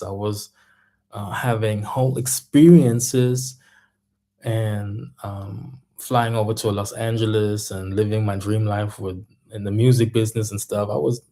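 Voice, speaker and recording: A man talks slowly and unhurriedly in a low, mellow voice. He speaks as a coach giving a reflective monologue and often pauses to find the right word. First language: German. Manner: thoughtful, searching for words. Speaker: mindset coach and music artist.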